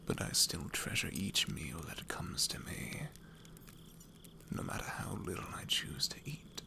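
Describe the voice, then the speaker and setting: A man's voice: deep voice, very animated, a little slow, full character voice. Man acting a whispering, menacing hardened killer. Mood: cold, menacing. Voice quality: breathy, gravelly near-whisper. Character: menacing, hardened male killer who has known starvation. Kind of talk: ASMR fantasy audio roleplay.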